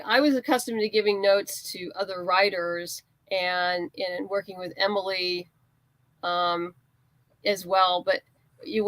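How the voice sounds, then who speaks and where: A woman's voice: medium pitch, fairly bright tone, no echo, rough recording. Woman guest telling anecdotes, dry close sound. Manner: informal, explains through anecdotes and quoted exchanges. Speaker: guest, writer and comics creator. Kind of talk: conversational interview answers, anecdotes.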